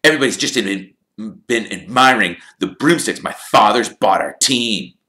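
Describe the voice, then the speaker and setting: A man reading an audiobook, voicing a spoiled, cruel teenage boy who talks in a smug, smooth, sneering way. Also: on the low side, animated, moderate pace, pushed and bright, pitched above the performer's own voice.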